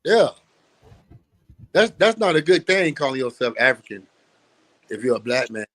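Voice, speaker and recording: A man's voice: medium pitch, big pitch swings, normal speed, fairly bright tone. A man teaching in an emphatic, preachy way, repeating phrases and laughing often. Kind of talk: street-teaching talk.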